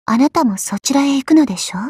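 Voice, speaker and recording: A woman voicing a petite, haughty, teasing goddess for a game, with elegant, coy, ladylike speech and a soft, breathy tone. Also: sits high, animated, quick pace, no room sound, clean studio sound. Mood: aloof, playful. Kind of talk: Japanese mobile game character voice lines.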